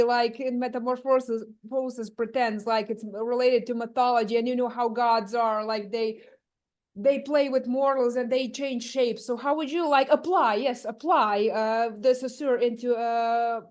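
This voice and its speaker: A woman's voice: fairly high pitch, steady tone, normal speed, a little mellow. A young woman, an audience member asking questions casually, with lots of "like". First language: Croatian. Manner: chatty, lots of "like".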